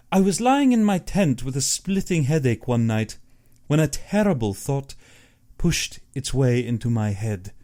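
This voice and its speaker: A man acts out an older aristocratic general in an audiobook, urbane and boastful. The voice is mellow and swings widely in pitch, with slow, precise, pompous phrasing. He is a cold-blooded hunter who savours his own importance. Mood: wistful, bored. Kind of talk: audiobook character acting.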